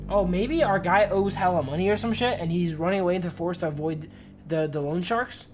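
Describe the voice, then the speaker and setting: A man gives loose livestream commentary on a game. He is chatty and slangy and swears freely. Music plays clearly behind his voice. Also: high voice, fairly quick, a little mellow. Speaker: game streamer. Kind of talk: casual livestream commentary and reading game text aloud.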